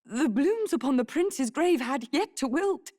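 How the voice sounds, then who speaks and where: An anxious, mournful court noblewoman in a fantasy game. Her voice is high and very expressive, with formal theatrical phrasing. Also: unhurried. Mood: mournful, worried. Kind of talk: dramatic English-language game voice acting.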